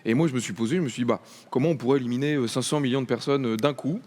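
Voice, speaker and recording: A man's voice: medium pitch, a little mellow. A man hosting a comedy gala, rambling through jokes with many fillers before introducing the next act. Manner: rambling, many fillers and asides, quick riffs. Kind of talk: stand-up host patter. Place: large festival gala hall on stage.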